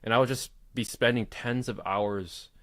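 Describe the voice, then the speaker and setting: A man in his early twenties hosting a casual live Q&A, talking in a relaxed, rambling way with fillers and small laughs. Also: mid-range voice, normal speed, dry recording. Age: 20s. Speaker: host.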